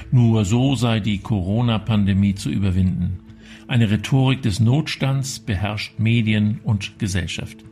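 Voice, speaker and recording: Gravelly man reading a radio commentary. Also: fairly low pitch, darkish tone. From Berlin, Germany. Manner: measured, earnest delivery.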